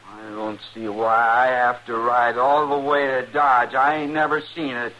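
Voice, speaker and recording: An actor playing a slow-witted, sullen, menacing backwoods son with simple, drawling speech in an old-time radio western. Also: male voice, mid-range voice, little pitch movement, bright, forceful tone, muffled recording. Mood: sullen, whiny. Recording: noisy old radio recording.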